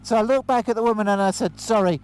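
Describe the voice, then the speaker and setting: A man's voice: sits high, fast talker. A British man with a slight lisp, telling self-mocking stories in casual chat. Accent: British English. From Britain. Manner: self-mocking, laughing at himself. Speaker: vlogger. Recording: loud road noise behind.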